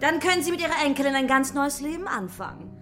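High-pitched, haughty villainess. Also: female voice, lively intonation, fast talker, fairly bright tone, faint music behind. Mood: smooth, persuasive. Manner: smug, haughty. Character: haughty, scheming businesswoman villain, coaxing and condescending. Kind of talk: children's radio play acting.

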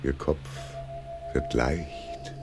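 Slow, very deep hypnotist villain. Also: male voice, vocal fry, over music, heavily acted. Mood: hypnotic, commanding. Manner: slow, commanding hypnotic intoning, theatrical. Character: deranged, vain theatre director and hypnotist with murderous intent. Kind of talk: German radio crime drama acting.

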